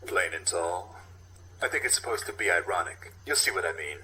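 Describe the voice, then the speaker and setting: A man's voice: sits low, lively intonation, a little fast, bright, forceful tone, a little hiss or hum, low-quality recording. A man co-hosting a comedy podcast, chatting casually and reading a script aloud. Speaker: podcast co-host. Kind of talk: casual comic chat and script read-aloud.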